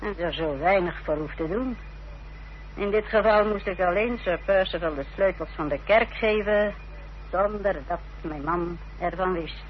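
A woman's voice: lowish voice, moderate pace, lightly acted. An actress playing an unrepentant village wife in a Dutch radio play, telling her story in a self-justifying way. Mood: defiant, unashamed. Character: unrepentant, defiant village wife confessing her part in a fraud. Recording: dull old radio recording with hiss and hum.

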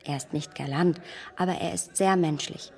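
An actress playing a strong-willed, self-assured young heroine who talks emphatically in a hushed voice, in a radio play. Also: female voice, low voice, moderate pace, creaky.

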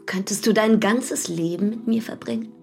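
A woman's voice: medium pitch, moderate pace, creaky, faint music behind, natural voice. An actress playing a passionate, insistent married woman, speaking intimately and questioningly in a German radio drama. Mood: seductive, tender.

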